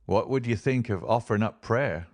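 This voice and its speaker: A man reading an audiobook, voicing a weathered frontier man who talks in a rough rustic dialect, gentle and resigned. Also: fairly low pitch, moderate pace, no echo, clean studio sound, voice pushed up, close to an everyday voice.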